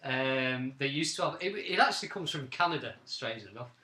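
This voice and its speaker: Bright, echoey man around forty. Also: mid-range voice, expressive, fairly quick. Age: late 30s to early 40s. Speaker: podcast co-host. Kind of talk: casual reminiscing chat. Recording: roomy, echoing amateur recording.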